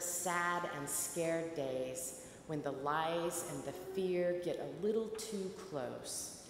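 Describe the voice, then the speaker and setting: A middle-aged woman preaching a sermon from a pulpit, with slow, measured delivery and reflective pauses. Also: low-pitched. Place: large stone church, at a pulpit. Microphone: pulpit mic at a distance. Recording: echoey church with long reverb, faint hiss.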